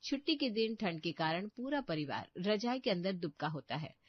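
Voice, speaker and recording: A woman's voice: mid-range voice, sing-song, normal speed, no room sound. Woman narrating a cartoon story, dry sound. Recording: dull, muffled studio voice track.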